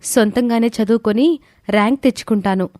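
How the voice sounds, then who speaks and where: A woman's voice: fairly high pitch, brisk, darkish tone, no room sound, clean studio sound, pitched above the performer's own voice, natural voice. A woman voicing an earnest, respectful teenage girl student in an audio story. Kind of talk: scripted audio story dialogue.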